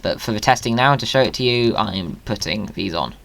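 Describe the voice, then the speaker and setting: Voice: medium pitch, brisk, creaky, slight background noise. Playful, chatty gamer. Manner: playful, jokey, excitable. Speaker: video creator hosting a gaming video. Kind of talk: chatty gaming commentary.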